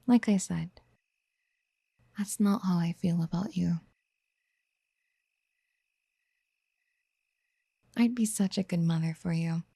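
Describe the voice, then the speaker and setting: A woman playing a possessive, motherly yandere in a soft-spoken ASMR roleplay, gentle and coaxing, often slipping toward a breathy whisper. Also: on the low side, normal speed, no echo. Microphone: close studio mic.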